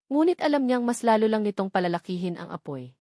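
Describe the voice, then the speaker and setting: A woman's voice: mid-range voice, expressive, quick pace, mellow, dry recording, clean studio sound. A woman with a Filipino accent reading a scripted romance story in a dramatic narrating style.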